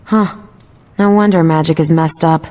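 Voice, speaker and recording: An actress playing a curious, casual, bemused heroine in a video game. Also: female voice, deep voice, steady tone, moderate pace, darkish tone, close to an everyday voice. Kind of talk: video game cutscene acting.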